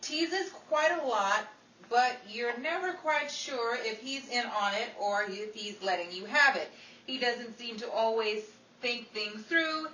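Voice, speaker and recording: Woman acting a bright, sardonic starlet, echoey radio. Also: fairly high pitch, measured pace. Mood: teasing. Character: sardonic, exasperated Hollywood starlet, young woman. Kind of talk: 1940s old-time radio comedy acting. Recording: echoey, distant mic with faint crowd and noise.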